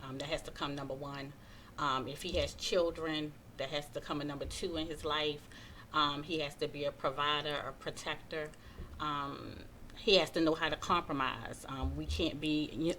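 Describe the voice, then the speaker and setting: A woman's voice: low voice, a bit bright, a little hiss or hum. A woman in her early forties from Baltimore, speaking casually as a panel guest. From Baltimore, United States. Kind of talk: casual spoken self-introduction and opinion.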